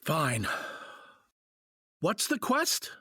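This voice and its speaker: A man playing a sulky, disbelieving husband in an audio fantasy drama, blurting and petulant, with a husky voice. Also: a little high, wildly swooping pitch, drawn-out words, very noisy, full character voice. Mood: exasperated, grudging.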